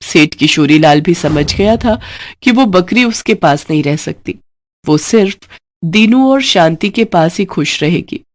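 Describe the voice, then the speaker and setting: A woman's voice: fairly low pitch, lively intonation, measured pace, dry recording. A woman narrating a scripted moral bedtime story for children as a storyteller.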